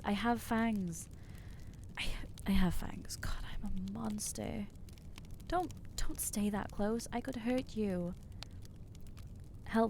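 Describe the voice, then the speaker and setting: A woman voicing an anxious, guilt-ridden young female vampire in an ASMR roleplay, speaking haltingly and stammering as she reproaches herself. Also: medium pitch, mellow, slight background noise.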